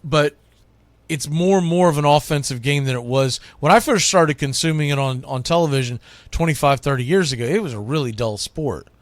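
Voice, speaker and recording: A middle-aged man with a slightly high voice, recorded close and dry. He talks like a sports radio host making his case, emphatic on the key points and folksy in his asides. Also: a little fast, dry recording. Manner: emphatic, announces each item loudly then drops into quieter musing. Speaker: radio host and baseball play-by-play announcer. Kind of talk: opinionated sports monologue counting down a list.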